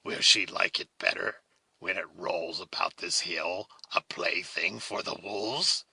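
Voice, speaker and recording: A man voicing a fierce, malign Huron warrior in a storybook reading, slow, menacing and mocking. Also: low voice, fairly even intonation, vocal fry, sharp tone, husky, dry recording, exaggerated voice. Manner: slow, menacing, ironic taunting.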